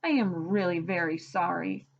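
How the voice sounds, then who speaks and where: A woman's voice: fairly low pitch, normal speed, gravelly, a little hiss or hum. A woman voicing a meek, hungry woodcutter in a read-aloud storybook, apologetic and pleading. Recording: dull lo-fi recording.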